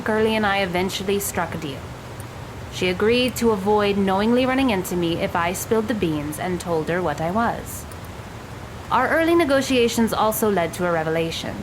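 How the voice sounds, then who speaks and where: A woman's voice: mid-range voice, background noise, close to an everyday voice. A woman playing a cold, sarcastic thirteen-year-old girl in an audio drama, with a dry, curt delivery.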